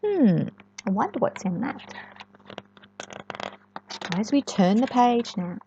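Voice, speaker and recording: A woman reading a storybook to young children in an expressive, sing-song way, often chatting and asking the listener questions. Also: on the high side, slow pace, creaky, slight background noise. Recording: echoey room, dull lo-fi sound.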